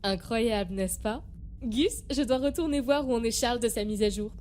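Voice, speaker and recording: Lady playing a sweet reassuring comms officer, playful, highish voice, slight noise behind. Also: moderate pace, darkish tone, natural voice. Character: Orange, kindly female communications officer minding a child. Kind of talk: scripted audio drama.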